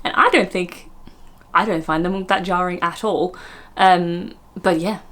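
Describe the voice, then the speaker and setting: A woman's voice: fairly low pitch, steady tone, unhurried, a bit bright. An Australian woman in her thirties with a slightly nasal voice, chatting casually and laughing at herself between filler words. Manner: giggly, self-deprecating, chatty with many fillers. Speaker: vlogger. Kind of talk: casual chat attempting accent imitations.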